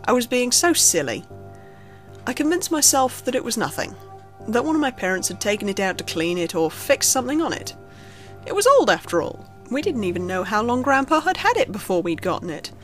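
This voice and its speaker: A woman reading a scripted first-person horror story in a measured, suspenseful storytelling manner. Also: fairly high pitch, sing-song. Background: background music under the narration.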